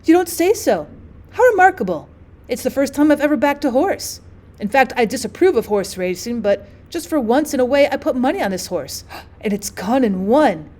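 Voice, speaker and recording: Storybook hostess, played by a female narrator: older, upper-class, high voice, forever disapproving. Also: sing-song, moderate pace, a little mellow. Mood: amazed, pleased.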